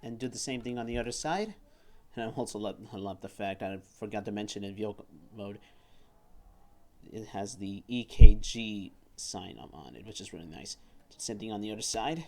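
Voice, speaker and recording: A man reviewing and demonstrating a toy at a table indoors, talking haltingly and often repeating words and phrases. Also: lowish voice, warm tone.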